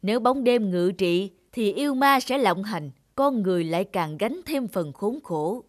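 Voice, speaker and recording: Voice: medium pitch, animated, moderate pace, no echo, clean studio sound, lightly acted. An actor playing a stern, imperious old male sun god in a cartoon fairy tale. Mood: grave.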